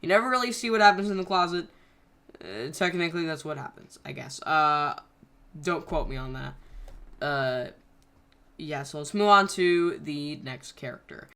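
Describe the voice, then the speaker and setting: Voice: medium pitch, moderate pace, a bit bright. A channel creator casually explaining scrapped plans in a rambling way, full of fillers and self-corrections. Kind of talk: casual behind-the-scenes commentary.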